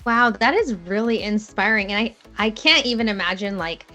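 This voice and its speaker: A woman's voice: mid-range voice, moderate pace, bright, forceful tone, soft background music. A woman in her thirties hosting an interview podcast, bubbly and affirming, with frequent fillers. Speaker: podcast host. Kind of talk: casual interview chat.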